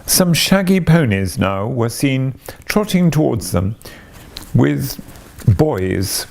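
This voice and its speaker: A man narrates a classic story with measured, dramatic phrasing. His mid-pitched voice has a creaky edge and moves expressively up and down. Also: very animated, darkish tone. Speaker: story reader.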